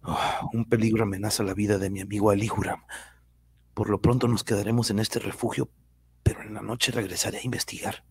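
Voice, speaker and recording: Guy doing a hushed, very husky mystery hero for a radio play, dry sound. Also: lowish voice, little pitch movement, fairly quick, dry recording. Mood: protective. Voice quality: husky, breathy.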